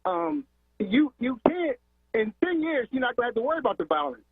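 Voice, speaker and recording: A man's voice: very high voice, very animated, brisk, breathy edge, no echo. A man from Philadelphia calling into a radio talk show, impassioned, repeating words and firing off rhetorical questions. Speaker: caller. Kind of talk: call-in radio rant. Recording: tinny phone line.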